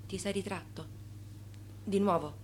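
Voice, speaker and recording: An actress playing a reproachful, searching wife in a radio drama, speaking intimately. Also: female voice, mid-range voice, steady tone, measured pace, husky. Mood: hushed reproach.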